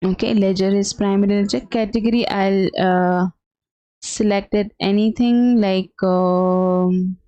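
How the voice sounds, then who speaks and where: A woman giving an unscripted software tutorial as a trainer, explaining step by step in a halting, hesitant way. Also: medium pitch, fairly even intonation, clean tone, no echo. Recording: dull, lo-fi, dry close sound.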